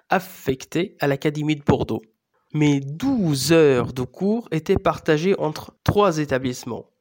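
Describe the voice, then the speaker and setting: A man in his thirties, a native Arabic speaker, hosting a podcast with measured, scripted narration. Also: a little high. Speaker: podcast host and Arabic teacher.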